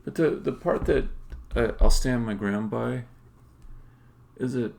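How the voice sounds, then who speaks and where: Very gravelly, muttering man at work. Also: medium pitch, slow, darkish tone. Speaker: game developer live-streaming a coding session. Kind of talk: casual thinking aloud while live-coding. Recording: faint background noise, typing and clicks. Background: computer keyboard typing and mouse clicks.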